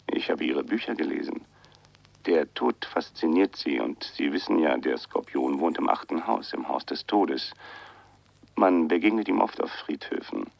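A man's voice: rumbling low, expressive, normal speed, creaky, darkish tone, no room sound, exaggerated voice. An actor playing a mysterious, insistent man on the phone in a radio crime drama, cryptic and self-assured. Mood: cryptic, probing. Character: mysterious, insistent man phoning a writer, afraid for his life. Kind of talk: 1980 German radio crime drama acting. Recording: dull, lo-fi radio play sound.

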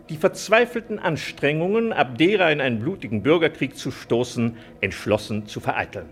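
An actor playing a pompous, hypocritical arms manufacturer in a satirical radio play, businesslike and oily. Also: male voice, a little high. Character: gleeful, hypocritical arms manufacturer dictating a sales letter.

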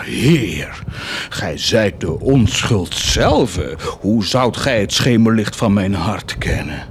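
An actor playing a proud, cruel, blasphemous warlord in a theatrical radio drama, declaiming and mocking. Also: male voice, medium pitch, a little hiss or hum, close to an everyday voice. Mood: defiant. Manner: declamatory, mocking, swinging from hushed to shouted.

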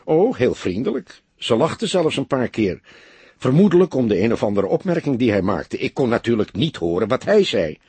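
Very husky and dry, this is a bitter young mother as acted out by a male narrator in an audiobook. She sounds upset and defensive, with scorn for men and a lively, lifted intonation. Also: mid-range voice, normal speed, no echo, heavily acted. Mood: subdued, explaining. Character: young unmarried mother, bitter, upset, sober-mannered witness. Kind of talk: audiobook character dialogue.

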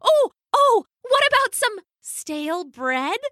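A woman's voice: very high-pitched, big pitch swings, slow, pushed and bright, no room sound, studio quality, voice pushed up, heavily acted. A woman voicing a plucky, silly chubby female duck who talks in a bubbly, giggly way.

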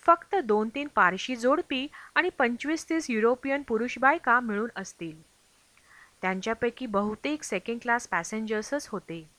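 A woman's voice: fairly high pitch, expressive, normal speed, pushed and bright, no echo. A woman reading a travel memoir aloud as scripted audiobook narration. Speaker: audiobook reader.

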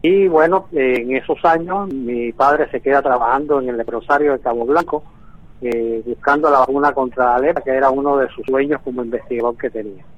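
A man in his sixties, born in Venezuela, speaking by phone from Havana in a measured way with frequent hesitations. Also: mid-range voice, dry recording. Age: 60s. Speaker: guest. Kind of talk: phone interview. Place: in Havana, Cuba. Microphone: telephone. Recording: tinny phone line.

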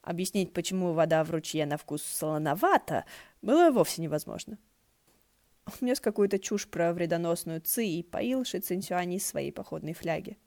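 A woman's voice: lowish voice, expressive, normal speed, darkish tone, no echo. A woman narrating fiction from a script as an audiobook reader, in a wry storytelling style.

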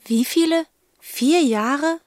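A woman's voice: high-pitched, takes it slowly, darkish tone, no echo. A woman narrating a radio drama as a matter-of-fact observer, speaking in clipped phrases. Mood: observing.

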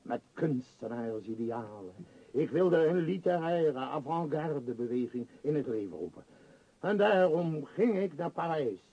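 A man's voice: on the high side, warm tone, breathy edge, no echo, full character voice. A man acting an imperious, absent-minded billionaire tycoon in a radio play, rambling and hesitant in a self-important way. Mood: reminiscing. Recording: muffled, dull radio play recording.

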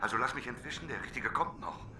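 An actor playing a desperate prophet trapped inside a whale, pleading and bargaining urgently in a radio play. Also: male voice, medium pitch, lively intonation, fast talker, pushed and bright. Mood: bargaining, insistent. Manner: urgent, declamatory. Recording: echoey, with faint background noise.

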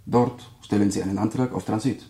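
A man acting a brisk, dryly ironic consular official, formal and instructing, in a German radio drama. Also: fairly low pitch, steady tone, mellow, echoey room, lightly acted.

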